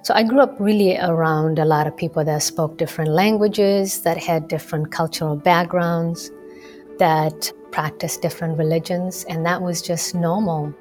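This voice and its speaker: A woman in her thirties from Kenya, a medical student answering interview questions in a measured, explanatory way. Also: on the low side, fairly even intonation, a little mellow, faint music behind. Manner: measured, explanatory, with occasional fillers. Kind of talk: radio interview conversation.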